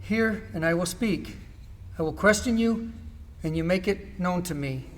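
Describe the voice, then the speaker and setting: A man's voice: sits high, measured pace, a little hiss or hum. A man playing a humbled, repentant believer in an amateur scripture drama, speaking earnestly and reverently. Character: Job, humbled repentant man addressing God.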